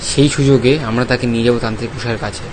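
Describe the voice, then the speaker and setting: A man's voice: mid-range voice, fairly even intonation, very fast, background noise, close to an everyday voice. A man voicing a worried village father in a cartoon story, agitated and pleading, repeating himself. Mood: urgent. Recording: clear music under the voice.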